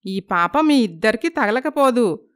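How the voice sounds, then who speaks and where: A woman voicing indignant, blunt village neighbours who scold and accuse in an animated moral story. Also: on the high side, unhurried, clear, resonant tone. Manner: accusing, reproachful.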